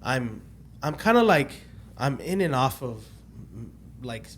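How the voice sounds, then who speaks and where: A man in his late twenties tells a long personal story in a loose, rambling way, stopping and restarting as he tries to get his dates straight. His voice sits at an ordinary middle pitch, and a faint noise hangs in the background of the recording. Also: very animated, unhurried, a bit bright. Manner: rambling, loses track of dates, many restarts and fillers. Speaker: podcast guest telling his testimony. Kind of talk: casual podcast storytelling.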